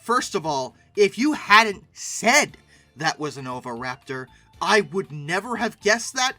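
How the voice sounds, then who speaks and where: A host giving casual, comedic reaction commentary with theatrical, exaggerated emphasis and drawn-out words. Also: mid-range voice, big pitch swings, bright, forceful tone, no echo, soft background music. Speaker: video host reacting to old paleoart.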